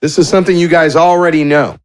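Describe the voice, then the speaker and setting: A man's voice: a little high, steady tone, fast talker, smooth, dry recording. A man narrating a tabletop roleplaying game as game master in a casual, playful, improvised way.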